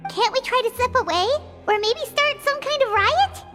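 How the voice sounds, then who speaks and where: An actress voicing a tiny, childlike, whiny and excitable fairy companion in a game, with a squeaky, expressive delivery. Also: female voice, normal speed. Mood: anxious. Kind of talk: scripted game voice acting. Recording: echoey reverb, clear music behind.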